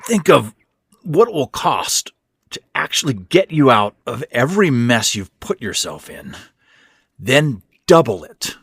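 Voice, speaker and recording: A man voicing a frail, scornful old stepfather in a horror audio story, with a hoarse, rasping voice and a bitter, forceful manner. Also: medium pitch, very animated, measured pace, no room sound, clean studio sound, pitched above the performer's own voice, heavily acted.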